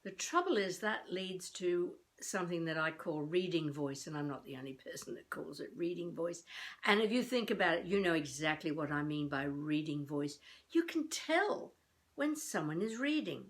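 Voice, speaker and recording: An older woman from Australia teaches off the cuff as a voice coach. Her voice sits a little low for a woman. She sets her phrases apart with deliberate pauses and leans on key words with a theatrical, expressive touch. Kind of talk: improvised instructional talk to camera.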